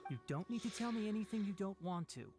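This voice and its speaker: An actress playing a composed, perceptive woman physician in a video game, measured and guarded. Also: mid-range voice, lively intonation, warm tone, close to an everyday voice. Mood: guarded, calm. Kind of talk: scripted video game voice acting. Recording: game music behind the voice.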